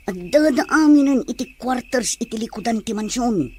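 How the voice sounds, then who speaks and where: A woman's voice: fairly high pitch, animated, moderate pace, darkish tone, slight background noise, close to an everyday voice. A woman with a Filipino accent playing a secretive night-time accomplice in a radio drama. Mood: furtive.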